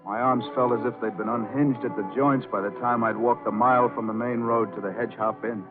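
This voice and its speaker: An actor playing a self-assured, hardboiled piano player in a 1940s radio crime drama. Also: male voice, mid-range voice, steady tone, a little fast, lightly acted. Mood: weary, narrating. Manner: terse, hardboiled. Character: self-assured male roadhouse pianist narrating his tale. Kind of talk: 1940s radio acting, first-person crime narration. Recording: tinny old radio, music behind.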